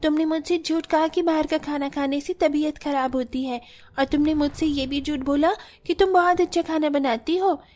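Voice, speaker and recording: A woman's voice: high-pitched, fairly even intonation, normal speed, a little mellow, natural voice. A woman playing a stubborn, sulky little girl from a poor family in a cartoon story. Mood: sulky, accusing.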